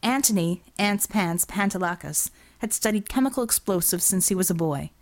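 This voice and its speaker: A woman's voice: mid-range voice, expressive, moderate pace. A woman reading a scripted work of fiction as an audiobook narrator.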